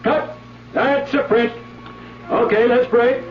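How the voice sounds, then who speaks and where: An actor playing a brisk film director calling out orders in a 1970s radio drama. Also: male voice, extremely high pitch, fairly even intonation, slight background noise. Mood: commanding. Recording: distant, echoey, muffled radio broadcast.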